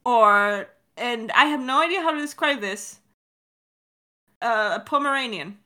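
High, bright, animated woman chatting. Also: a little slow. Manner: giggly, swears casually, animated. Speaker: podcast creator and co-host. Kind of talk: casual chat, creator Q&A.

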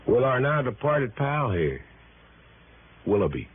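An actor playing a gruff, matter-of-fact police detective in a radio drama, with a clipped, casual, insinuating delivery. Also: male voice, mid-range voice, very animated, unhurried. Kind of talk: old-time radio drama acting. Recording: narrow, faintly noisy vintage radio recording.

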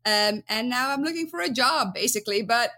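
Bright-voiced woman with a Dutch accent. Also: on the high side, lively intonation, fairly quick. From Belgium. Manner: chatty, many ums, laughs easily. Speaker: guest archaeologist. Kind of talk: casual interview chat.

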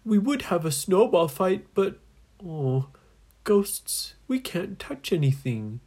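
Mournful old ghost, acted by a woman, sighing, swooping intonation, husky, children's storybook. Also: lowish voice, big pitch swings, takes it slowly, dark tone, voice pushed up, full character voice. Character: mournful, lonely old island ghost, long without hands.

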